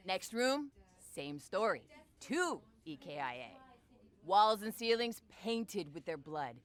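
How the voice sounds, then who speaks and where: An actress playing a tough, teasing soldier who recounts battles casually in dry, clipped military talk for a video game. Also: female voice, medium pitch, very animated, takes it slowly. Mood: matter-of-fact, tense. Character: tough, teasing female soldier, squadmate of the storyteller. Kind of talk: casual in-character storytelling.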